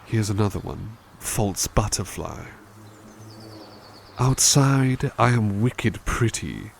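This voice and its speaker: A man's voice: lowish voice, gravelly, darkish tone, a little hiss or hum, exaggerated voice. A man playing a kind, courteous butler who reads poems aloud and muses on them in a formal, polite way for an ASMR roleplay. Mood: reciting. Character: kind, courteous male butler reading to listener.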